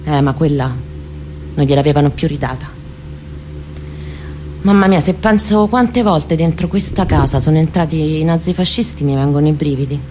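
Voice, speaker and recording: Low-voiced Roman woman telling a story. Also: a little hiss or hum, low-quality recording. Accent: central Italian, Roman. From near Rome, Italy. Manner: expressive, intimate, deliberate phrasing with hushed moments. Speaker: monologue performer. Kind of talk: dramatic autobiographical storytelling monologue.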